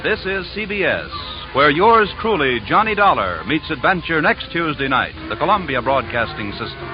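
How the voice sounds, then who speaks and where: American male announcer, 1950s radio style, scripted delivery, slightly high voice, muffled recording. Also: very animated, normal speed. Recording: dull vintage radio recording with music.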